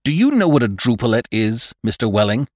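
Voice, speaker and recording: An exuberant old doctor acted out by a male narrator lectures in grand, emphatic style. His pitch climbs and leaps as he preaches order like a pompous storybook orator, in a dry, close sound. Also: big pitch swings, brisk, no echo. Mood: severe, lecturing.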